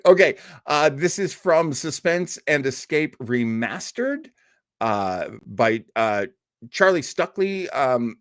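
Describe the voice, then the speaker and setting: A man's voice: fairly low pitch, dry recording, studio quality. A man hosting a live online listening party, rambling casually with self-deprecating jokes and digressions. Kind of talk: casual rambling livestream introduction.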